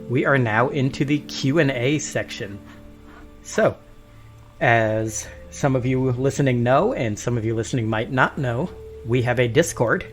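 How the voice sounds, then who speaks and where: A man casually hosting a podcast discussion and addressing the listeners. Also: mid-range voice. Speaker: podcast host. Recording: music playing behind the voice.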